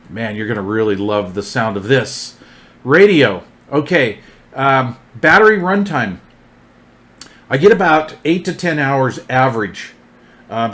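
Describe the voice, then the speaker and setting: A man's voice: medium pitch, fairly bright tone. A man reviewing gear hands-on in a casual, informal, folksy way, often repeating his phrases.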